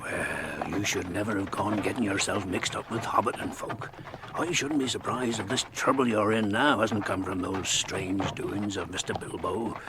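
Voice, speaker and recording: An actor playing a kindly but gruff old hobbit farmer in a radio drama, with a folksy, plain-spoken manner. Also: male voice, lowish voice, normal speed, background noise, lightly acted. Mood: grave advice. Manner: folksy, plain-spoken, chuckling country turns of phrase.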